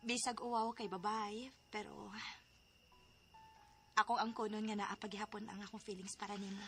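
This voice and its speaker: An actress playing a coy, sharp-tongued young working woman with a Cebuano Filipino accent in a radio drama. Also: mid-range voice, little pitch movement, normal speed, a little hiss or hum. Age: young adult.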